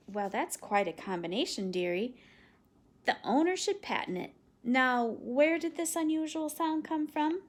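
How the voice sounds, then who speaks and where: A woman voicing a calm, patient father who gently teases his daughter with dry jokes. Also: sits high, a little slow. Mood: gently teasing. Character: calm, teasing father, a lawyer.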